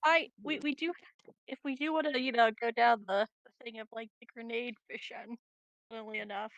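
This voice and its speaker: A woman's voice: fairly high pitch, fairly even intonation, normal speed, gravelly, fairly bright tone, no room sound, highs cut off. A woman chatting casually as a tabletop game player, tentative and self-deprecating. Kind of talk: casual chat.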